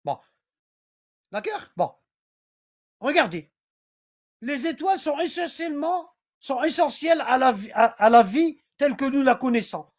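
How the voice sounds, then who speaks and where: High-pitched informal teacher, repetitive and rambling, reads aloud and checks the listeners follow. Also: very animated, moderate pace, long pauses. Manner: reads aloud from text, then comments. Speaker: religious teacher. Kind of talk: informal online class.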